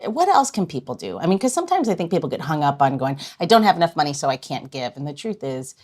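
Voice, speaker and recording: A woman's voice: deep voice, very animated, fast, darkish tone. Chatty woman hosting a casual podcast. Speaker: podcast host.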